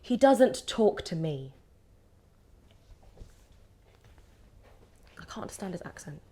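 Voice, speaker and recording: A woman's voice: fairly low pitch, big pitch swings, a little fast, warm tone. An actress playing a sardonic, teasing, probing woman in a bickering romantic couple, in naturalistic stage drama dialogue. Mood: needling. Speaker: actor. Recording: clear ambient background noise.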